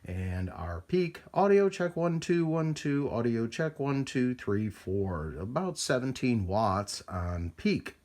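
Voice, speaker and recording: A man's voice: medium pitch, very animated, slow pace, hardly any pauses, a little mellow. A man giving an informal, hands-on demonstration commentary at a repair bench, thinking aloud as he works. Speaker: radio repair hobbyist, video presenter. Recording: clear background noise.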